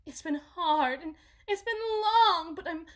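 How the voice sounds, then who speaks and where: A woman playing a delusional, possessive mother in a dramatic ASMR roleplay, halting and repeating herself theatrically, shifting between hushed and intense. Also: very high-pitched, expressive, exaggerated voice. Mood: tearful relief. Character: delusional, possessive mother smothering her supposed lost child.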